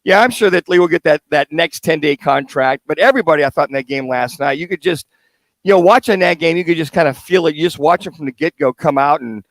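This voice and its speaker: A man's voice: on the high side, fast talker, no room sound. An American man co-hosting a sports radio show, giving casual, conversational analysis. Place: live remote radio broadcast at a car dealership.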